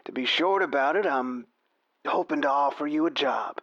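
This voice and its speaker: Folksy older rodeo announcer, muffled sound. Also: male voice, a little high, moderate pace, a bit bright, no room sound. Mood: persuasive. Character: old rodeo radio announcer, genial, folksy, persuasive salesman. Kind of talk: radio play acting.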